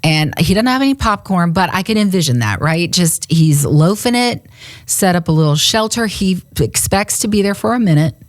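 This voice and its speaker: Low-voiced, laughing woman radio host. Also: normal speed, dry recording, clean studio sound. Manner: lively, chatty storytelling with frequent laughter. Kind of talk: casual Bible discussion. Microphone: studio microphone.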